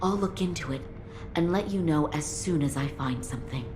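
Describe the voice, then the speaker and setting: A woman voicing a calm, compassionate but troubled woman in a game cutscene, soft-spoken, polite and earnest. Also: sits low, brisk, noisy background, close to an everyday voice. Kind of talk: dramatic game cutscene acting.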